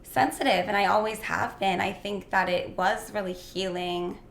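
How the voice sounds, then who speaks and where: A young woman in casual interview conversation, dreamy and full of "like" fillers, slightly hoarse. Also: medium pitch, steady tone, moderate pace. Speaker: guest. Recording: echoey room with faint noise.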